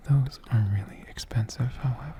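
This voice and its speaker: A man doing soft-spoken, whispered ASMR in a gentle, deliberate manner, close to a condenser mic. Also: mid-range voice, a little hiss or hum. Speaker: ASMR creator answering viewer questions. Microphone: USB condenser mic, close up.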